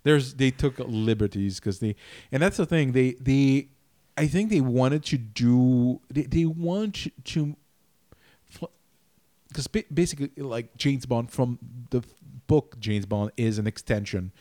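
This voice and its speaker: A man's voice: medium pitch, unhurried, warm tone, no room sound, clean studio sound. A man co-hosting a casual film discussion, often breaking off and restarting his sentences mid-thought. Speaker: podcast co-host.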